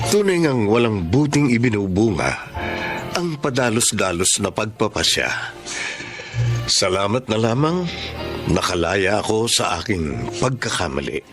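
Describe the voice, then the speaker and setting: Low voice filipino widower dad, radio drama, very expressive swoopy delivery, music behind. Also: male voice, a little slow, long pauses. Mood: reflective. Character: widowed father Richard, passionate, earnest romantic lead. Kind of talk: Filipino radio drama acting with first-person narration. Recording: music under the voice.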